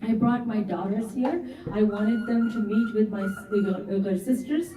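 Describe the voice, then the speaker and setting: A woman's voice: medium pitch, fairly even intonation, moderate pace, dark tone. A middle-aged woman whose first language is Urdu, giving an earnest talk to a women's gathering and often adding "right?". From Canada resident. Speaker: community activist speaker. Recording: echoey hall, voice from far off.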